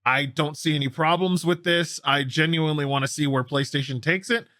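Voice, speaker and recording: A man's voice: fairly high pitch, a little fast, pushed and bright, no echo, studio quality. A man hosting a gaming news show, commenting casually and enthusiastically on stories with a co-host. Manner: enthusiastic, opinionated, conversational.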